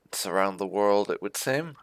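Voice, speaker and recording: A man's voice: on the low side, animated, normal speed, smooth, no room sound. A man giving casual in-character roleplay commentary on a video game, musing wryly with muttered asides. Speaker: gaming channel host.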